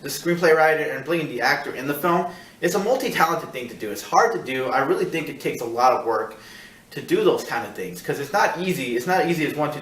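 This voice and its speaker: A man hosting a casual film review, talking in a rambling, halting way and repeating himself as he searches for words. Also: mid-range voice, a bit bright. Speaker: film review show host. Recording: echoey room, distant mic.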